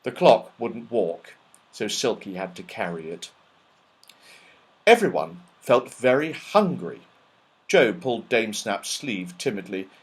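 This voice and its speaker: A man narrating a children's story as an audiobook reader, in an expressive, dramatic style. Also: lowish voice, slow pace, husky.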